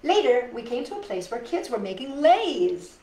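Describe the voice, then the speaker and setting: Expressive middle-aged woman storyteller in a roomy space. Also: fairly high pitch. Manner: animated, dramatic pauses, addresses young listeners. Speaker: storytime host reading picture books to children. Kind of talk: expressive read-aloud with chatty asides. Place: indoors in a living room. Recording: roomy, distant mic with background noise.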